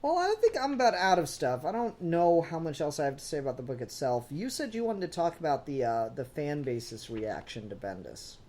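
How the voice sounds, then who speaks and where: A man talks informally as a podcast co-host in a fan discussion. His pitch moves around a lot, giving the casual chat an animated sound. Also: brisk, few pauses. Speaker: comic book review podcast co-host.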